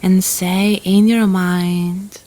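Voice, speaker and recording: A woman guiding a relaxation meditation in a calm, gentle, soothing manner, leaving long pauses between phrases. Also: fairly low pitch. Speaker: yoga nidra guide.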